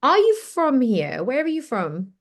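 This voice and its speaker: A woman's voice: high-pitched, wildly swooping pitch, unhurried. A woman from Cardiff with a South Welsh accent, hosting a podcast interview in an effusive, gushing way. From Cardiff, South Wales. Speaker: podcast host.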